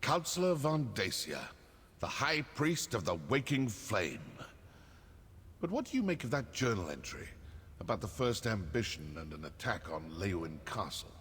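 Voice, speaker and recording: Husky, animated, vengeful assassin. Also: male voice, lowish voice, heavily acted. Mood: cold resolve. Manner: measured, theatrical, coolly menacing. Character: vengeful, sardonic male assassin from a dark brotherhood. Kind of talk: fantasy video game voice acting. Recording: long cave-like reverb.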